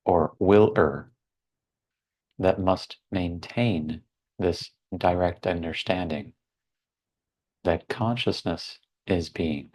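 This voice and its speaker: Male meditation guide, deep register, extremely unhurried, deliberate short phrases set apart by silence. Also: darkish tone. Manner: measured, deliberate, with long pauses between short phrases.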